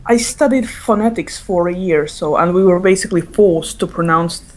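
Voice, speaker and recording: A woman's voice: on the low side, moderate pace, a little mellow. A woman from Latvia with a slight Latvian accent, a philology student chatting on a podcast in a wry, self-deprecating way. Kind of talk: casual group chat over voice call.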